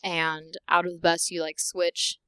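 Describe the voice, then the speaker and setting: A teenage girl, a high school senior and singer, talking through her audition story with many fillers. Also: little pitch movement, measured pace, sharp tone, clean tone, no room sound. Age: teens.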